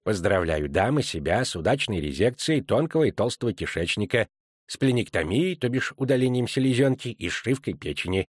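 A man's voice: on the low side, expressive, brisk, husky, dry recording. A man voicing a sardonic male field surgeon who lectures his assistants in a dry tone. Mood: dryly lecturing.

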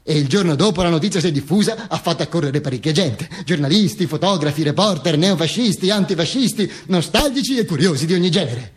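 A man telling a theatrical monologue as an actor, halting, repeating words and stressing them emphatically. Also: a little high, lively intonation, breathy edge.

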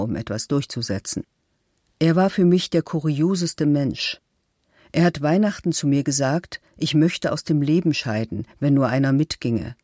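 A woman playing an anguished accused woman who protests her innocence in a deliberate, pleading documentary monologue. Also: deep voice, warm tone, dry recording, clean studio sound.

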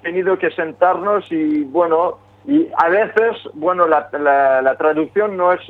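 A man from Quebec, Canada, who speaks French as his first language, talking haltingly with many restarts in a phone interview about his band. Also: on the high side. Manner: hesitant, many restarts and fillers. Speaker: singer of a metal band, interviewee. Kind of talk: phone interview, casual talk. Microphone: telephone. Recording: phone line.

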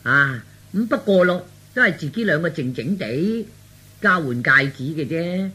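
A man's voice: a little high, animated, measured pace, pushed and bright, husky, exaggerated voice. A man voicing a fickle, self-justifying young man in Cantonese radio storytelling. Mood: defensive.

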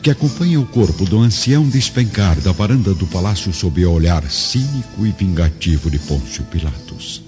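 A man's voice: low-pitched, expressive, moderate pace, few pauses. A man narrating a radio drama from a script in a solemn, literary, dramatic style. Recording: dull lo-fi radio drama audio. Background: background music.

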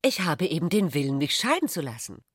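A woman's voice: low voice, fairly quick, breathy edge, no room sound, exaggerated voice. Spirited, headstrong woman, close dry sound. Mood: defiant, annoyed. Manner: direct, expressive, provoking. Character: spirited, teasing, headstrong married woman seeking divorce. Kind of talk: radio play acting with literary narration.

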